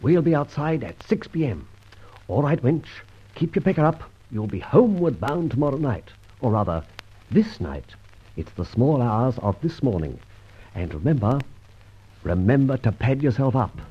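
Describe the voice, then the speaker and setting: Smooth-talking gentleman crook, very raspy voice, swoops around a lot, muffled old radio play. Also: mid-range voice, big pitch swings, moderate pace. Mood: breezy, commanding. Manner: breezy, witty, upper-class turns of phrase. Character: suave gentleman adventurer thief, cool, jaunty and commanding. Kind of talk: old radio drama acting.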